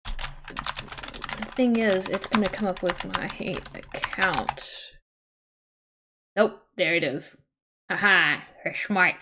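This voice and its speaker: A woman hosting a livestream, chatting casually in an expressive, exclamatory way. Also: medium pitch, slow, pauses often, noisy background. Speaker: livestream host.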